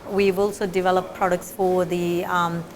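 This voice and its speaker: A woman's voice: on the low side, little pitch movement, moderate pace, a little hiss or hum. A middle-aged woman from Fiji, a meat scientist, explaining her research in an interview with frequent fillers and restarts. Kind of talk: interview answers.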